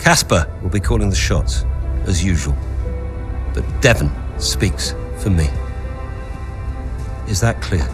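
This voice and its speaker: An actor playing a middle-aged, ambitious father and racing team owner, speaking in a commanding, deliberate way for a game story. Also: low voice, animated, over music. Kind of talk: cinematic game story dialogue.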